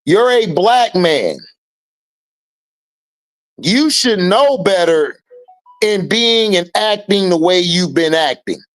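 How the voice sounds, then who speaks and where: A man's voice: high-pitched, animated, slow pace, long pauses. A man, hosting a casual sports stream, blunt and heated and swearing freely. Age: 30s. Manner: blunt and heated, swearing freely, rising loudly when riled. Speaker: host of an online sports and commentary stream. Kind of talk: casual opinionated commentary, reacting to chat.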